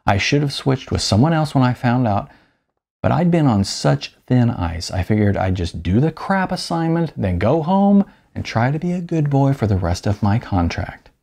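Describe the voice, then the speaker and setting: A man voicing a weary, self-deprecating writer of almost fifty, halting and sardonic, in an audiobook. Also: mid-range voice, very animated, creaky, darkish tone. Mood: sheepish, defensive.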